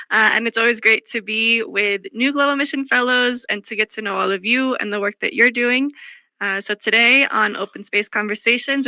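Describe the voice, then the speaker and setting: Female co-host. Very bright, sharp, high voice, conversational, dry recording. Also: clear, resonant tone, no echo. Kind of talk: conversational radio hosting.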